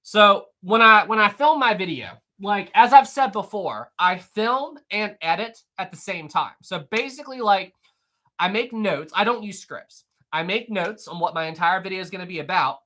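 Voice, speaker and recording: Rambling, animated male live-streamer. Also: high-pitched, normal speed. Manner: rambling, animated, laughs often, many fillers and restarts. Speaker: history YouTuber hosting a live stream. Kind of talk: casual live-stream chat reading super chats.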